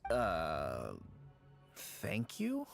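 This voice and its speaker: A man's voice: low voice, wildly swooping pitch, extremely slow, creaky, faint music behind, full character voice. A man playing a subdued, weary, hesitant character in a video game.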